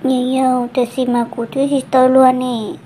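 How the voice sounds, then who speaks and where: A woman's voice: sits high, little pitch movement, fast, dark tone, a little hiss or hum, natural voice, low-quality recording. A woman voicing a female character in a family folk-tale cartoon. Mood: conversational.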